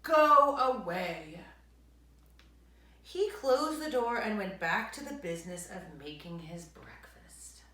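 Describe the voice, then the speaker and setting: A woman storyteller reading aloud expressively to children, with dramatic emphasis, drawn-out words and hushed asides to her listeners. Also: lowish voice, very animated, slight background noise. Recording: echoey room.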